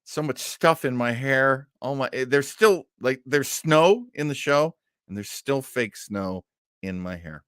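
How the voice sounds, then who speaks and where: A man's voice: medium pitch, unhurried, a bit bright, clean tone, no echo, studio quality. An American man hosting a casual radio fan show, speaking in a theatrical, effusive and self-mocking manner. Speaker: host. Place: indoors at home.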